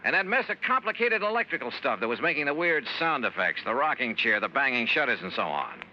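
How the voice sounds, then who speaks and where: An American man playing a shrewd, self-assured detective in 1950s radio drama, speaking in a wry, knowing, deliberate way. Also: medium pitch, very animated, pushed and bright, slight background noise. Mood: knowing, pointed. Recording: dull 1950s radio recording, faint music.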